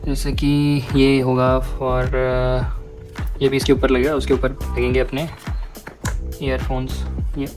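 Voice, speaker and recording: Casting: Indian male vlogger, casual and informal product walkthroughs, flat intonation, English phrases mixed in, clear music bed underneath. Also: medium pitch, takes it slowly. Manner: informal, addresses viewers as friends, mixes in English phrases. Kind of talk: casual vlog talk, unboxing and product walkthrough.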